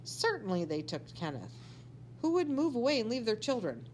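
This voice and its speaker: A woman's voice: fairly high pitch, very animated, normal speed, a little mellow, voice pushed up, rough recording. A woman reader plays a sensible mother in a children's story. She explains things firmly and matter-of-factly, over faint background noise.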